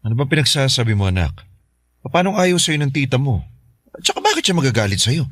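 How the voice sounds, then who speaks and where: A man's voice: medium pitch, huge pitch swings, quick pace, fairly bright tone, dry recording, studio quality. An actor playing a stern, exasperated middle-aged father in a Filipino radio drama, scolding and reproachful. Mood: puzzled, irritated.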